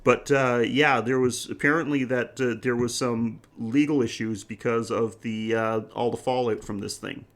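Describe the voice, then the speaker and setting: A man's voice: mid-range voice, steady tone, normal speed, hardly any pauses. A man co-hosting a podcast, chatting casually about films in a jokey, bantering way. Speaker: podcast co-host. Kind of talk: casual film discussion chat.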